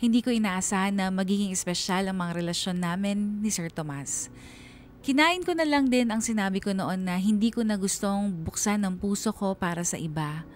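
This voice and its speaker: A woman's voice: mid-range voice, normal speed, slight background noise, natural voice. A woman playing a reflective single mother who narrates her own story in a radio drama. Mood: fond, reflective. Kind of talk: dramatized first-person narration.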